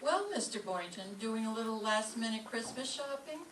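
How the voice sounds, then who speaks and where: A woman's voice: a little high, lively intonation, normal speed, close to an everyday voice. A woman playing a wry, sarcastic schoolteacher in a radio comedy. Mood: dry sarcasm. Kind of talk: old-time radio comedy acting. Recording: echoey room, distant mic, faint noise.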